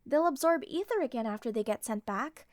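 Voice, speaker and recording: Principled young royal for fantasy audio drama, played by a woman; high voice, formal, courteous, earnest, dry close recording. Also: expressive, fairly quick, darkish tone, dry recording, close to an everyday voice. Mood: firm, guarded. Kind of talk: fantasy audio roleplay acting.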